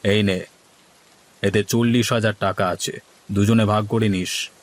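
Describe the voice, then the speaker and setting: A man's voice: lowish voice, fairly even intonation, unhurried, close to an everyday voice. A man voicing village men in a cartoon, from schemers to worriers, with theatrical, exaggerated dialogue delivery. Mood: commanding.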